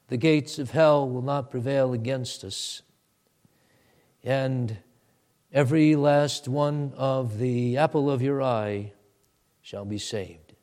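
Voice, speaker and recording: Slow-speaking male pastor preaching. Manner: swings from soft low asides to loud high exhortation; deliberate, drawn-out preaching delivery. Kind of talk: sermon and closing prayer.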